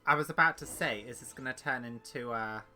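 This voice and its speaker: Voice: mid-range voice, pushed and bright, soft background music. A gaming streamer giving casual playthrough commentary and reading game text aloud. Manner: halting when reading aloud. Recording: faint background noise.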